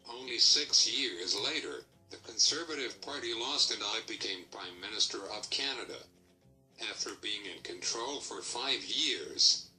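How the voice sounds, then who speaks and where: Man playing a bright-voiced proud statesman, distant mic. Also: on the low side. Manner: formal, reading from a script. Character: male Canadian statesman recounting his life proudly. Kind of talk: scripted first-person biography narration. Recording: distant mic, music behind.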